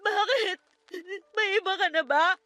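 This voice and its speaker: A woman's voice: very high voice, unhurried, bright, forceful tone, no echo, exaggerated voice. A Filipino woman playing a heartbroken young wife in an emotional, pleading radio drama style. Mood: tearful pleading.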